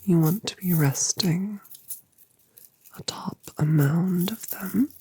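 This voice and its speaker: A woman voicing a colossal, gentle, motherly dragoness in a whispered audio drama, soothing and affectionate with genteel phrasing. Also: on the low side, slow pace, slightly rough, full character voice. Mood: gently instructive. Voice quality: soft whisper.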